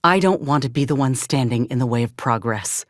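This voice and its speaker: An earnest, steadfast female ex-soldier from a video game space frontier. Her is folksy and plainspoken, with measured delivery. The sound is very dry and close, without room. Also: a bass voice, no echo. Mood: somber, resolute. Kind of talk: video game character dialogue.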